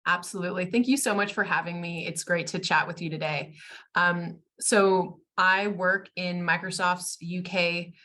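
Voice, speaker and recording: An American woman, a guest expert in a webinar interview, talking fluently in a polished and warm way. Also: on the low side, steady tone, normal speed. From United States. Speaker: guest, tech company expert.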